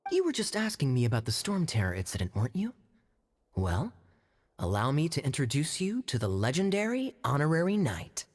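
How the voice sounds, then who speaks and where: A man voicing a suave, teasing knight captain in a video game, smooth-talking, sly and playful. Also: a little high, huge pitch swings, a little fast, darkish tone.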